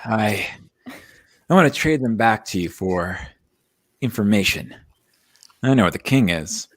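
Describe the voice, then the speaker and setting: Slow, cocky space smuggler played by a man. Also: on the low side, lively intonation. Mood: wary. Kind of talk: comedic live table read of a sci-fi script.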